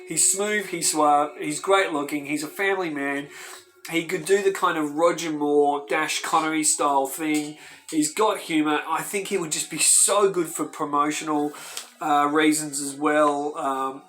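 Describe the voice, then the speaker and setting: A man hosting his own fan channel, talking to camera with excited, emphatic enthusiasm and bursts of emphasis. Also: fairly high pitch. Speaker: host of a fan video channel. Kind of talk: enthusiastic casual talk to camera.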